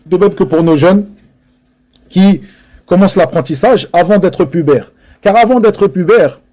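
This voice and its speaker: High-voiced man giving an earnest religious lesson. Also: warm tone. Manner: earnest, emphatic, repeats key phrases; mixes Arabic religious phrases into French. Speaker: religious teacher. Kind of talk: religious lesson to a live audience.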